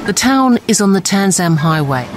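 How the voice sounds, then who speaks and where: A woman reading scripted documentary narration in a measured, articulate way. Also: mid-range voice, sing-song, background noise. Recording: studio voice-over with music.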